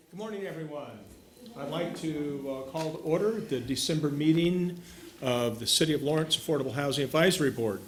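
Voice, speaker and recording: A middle-aged man chairing a city board, calling the meeting to order in a slow, deliberate way at a table microphone. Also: fairly high pitch. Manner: joking then formal, slow and deliberate. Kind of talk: opening a public meeting.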